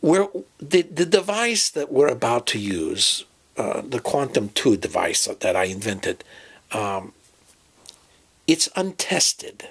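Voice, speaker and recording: A man playing a fussy professor and inventor who speaks in a halting, hedging way, in an amateur audio drama. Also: medium pitch, big pitch swings, husky, exaggerated voice. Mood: earnest, evasive.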